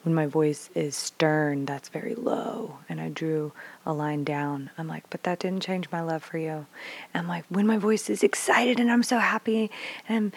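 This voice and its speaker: A woman in her thirties candidly telling parenting stories, swearing freely and acting out dialogue, dropping to whispers and then bursting out loud. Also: on the low side. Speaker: mother sharing parenting stories. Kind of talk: candid casual storytelling.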